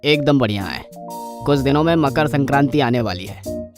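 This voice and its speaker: A man's voice: mid-range voice, animated, normal speed, over music, close to an everyday voice. A man playing a polite neighbour who explains things courteously in a children's cartoon. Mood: polite, informative. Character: polite neighbour man announcing a society kite contest.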